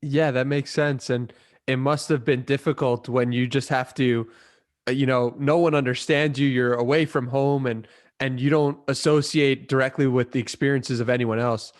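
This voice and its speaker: A man's voice: medium pitch, steady tone, moderate pace. A man hosting a podcast, asking attentive, encouraging interview questions. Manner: attentive, encouraging, short affirmations. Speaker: podcast host.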